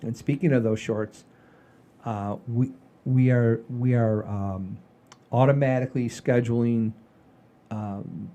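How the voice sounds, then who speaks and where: A man hosting a comedy show in casual chat, halting and repeating phrases for emphasis. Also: mid-range voice, fairly even intonation, mellow, dull audio.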